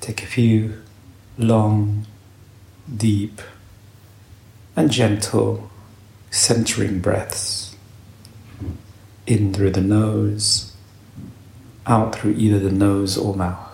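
A man guides a meditation in a low voice with a creaky, gravelly edge. He speaks gently in short, unhurried phrases with long pauses between them. The sound is roomy, with some echo around his voice. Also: little pitch movement. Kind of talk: guided meditation, calm spoken instruction. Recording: roomy echo, faint background noise.

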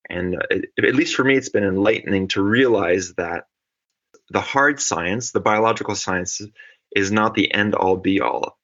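Man from california, middle-aged, lowish voice, talks things through slowly, kinda muffled audio. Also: pushed and bright. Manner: thoughtful, measured, with restarts. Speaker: ecologist guest. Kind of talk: conversational podcast interview. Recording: dull lo-fi audio.

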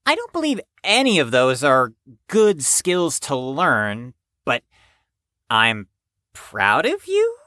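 A woman's voice: a bass voice, huge pitch swings, takes it slowly, fairly bright tone, dry recording, heavily acted. A woman playing a blunt, prickly, grudgingly kind young patient in an audio drama, with dry, formal phrasing. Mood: skeptical, grudging.

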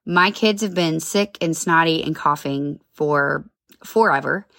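A woman's voice: sits low, a little slow, creaky, fairly bright tone, no room sound. A woman in her thirties hosting a casual solo podcast, chatty and upbeat with lots of asides, sounding a little nasal and congested. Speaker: podcast host.

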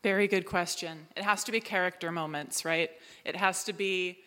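A woman answering questions on a live panel as a drama writer, talking in an animated, emphatic, conversational way. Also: fairly low pitch, moderate pace. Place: live event room at a festival. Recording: echoey hall, long reverb.

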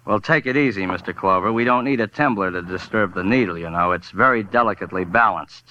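A man's voice: fairly low pitch, quick pace, close to an everyday voice. A man playing a glib, fussy laboratory assistant in a 1940s radio drama, chatty and precise. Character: fussy, glib male seismology lab assistant. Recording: muffled, noisy 1940s radio recording.

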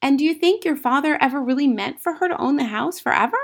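A woman voicing a bright, indignant and eager schoolgirl in a storybook, asking emphatic, forthright questions. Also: high-pitched, animated, fast, voice pushed up. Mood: indignant, insistent.

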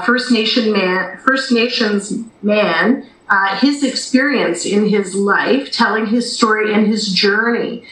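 A woman's voice: medium pitch, pushed and bright, reverberant, slight background noise, rough recording. A woman giving conversational interview answers as a guest over a video call. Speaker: theatre company executive director, interview guest.

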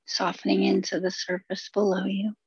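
A woman guides a relaxation session in a husky voice thick with vocal fry. She speaks soothingly in short, unhurried phrases and leaves long pauses between them. The recording is dull and very dry. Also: medium pitch, completely flat delivery, no echo. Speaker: meditation guide.

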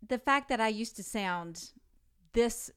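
A woman's voice: fairly high pitch, lively intonation, slow pace, noisy background. A woman with a Southern accent co-hosting a podcast. She talks casually in an easygoing, self-deprecating way. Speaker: podcast co-host. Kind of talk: casual chat.

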